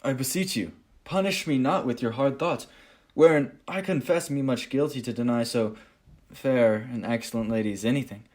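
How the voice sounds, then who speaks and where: A man's voice: medium pitch, normal speed, darkish tone, lightly acted. A man playing an earnest, proud young gallant in a Shakespearean radio play, speaking formally and respectfully. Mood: melancholy, resigned.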